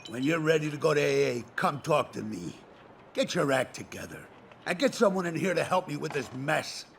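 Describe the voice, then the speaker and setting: A man voicing a gruff police boss in a video game, stern, blunt and scolding, with a gravelly voice. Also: a little high, normal speed, a little hiss or hum. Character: gruff, exasperated male police boss, stern but caring.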